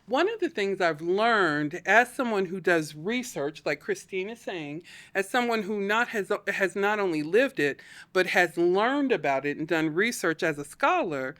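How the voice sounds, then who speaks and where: Woman, middle-aged, academic, measured explainer, podcast chat, mid pitch. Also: expressive, fairly bright tone. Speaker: podcast co-host, scholar. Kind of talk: casual podcast conversation.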